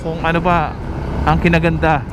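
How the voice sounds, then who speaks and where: A man's voice: fairly high pitch, completely flat delivery, moderate pace. A man giving a casual, conversational vlog review, often adding little tag questions. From Philippines. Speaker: motovlogger reviewing gear. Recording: loud music under the voice. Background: music.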